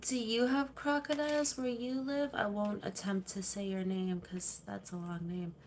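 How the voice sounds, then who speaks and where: A woman's voice: mid-range voice, steady tone, normal speed, a little hiss or hum. A woman hosting a casual livestream, chatting with viewers and reading their comments, rambling and laughing easily. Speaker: livestream host.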